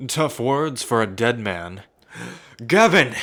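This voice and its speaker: Man acting an arrogant, slow-talking villain lord. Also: mid-range voice, sing-song, bright, forceful tone, full character voice. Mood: sneering, gloating. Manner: haughty, taunting. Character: arrogant, sneering male villain lord, would-be emperor. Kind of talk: theatrical ASMR roleplay acting.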